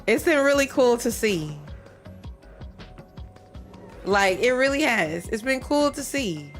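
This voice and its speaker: A woman with a stuffy nose chatting casually with her viewers while she warms up at a game, rambling and repeating herself. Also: a little high, very animated, slow pace, soft background music. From Michigan. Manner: rambling, repeats herself, chats with stream chat. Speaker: livestreamer playing a speedrun practice.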